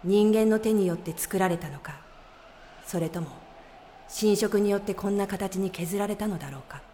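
A woman reading scripted narration for an audio drama in a measured, solemn storytelling manner. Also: mid-range voice, mellow, a little hiss or hum.

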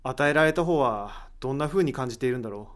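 A man's voice: mid-range voice, animated, quick pace, fairly bright tone, natural voice. A man playing an inquisitive, analytical character in an audio drama, musing his way through a mystery. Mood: thoughtful, intrigued.

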